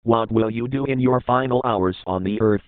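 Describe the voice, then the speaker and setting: A man's voice: medium pitch, normal speed, no echo, lightly acted. Tinny, phone-like man acting a despairing newsman. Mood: grave, resigned. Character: despairing male newsman announcing the end of the world. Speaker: news broadcaster. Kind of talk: dramatized emergency news broadcast. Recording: tinny phone-like band, dry.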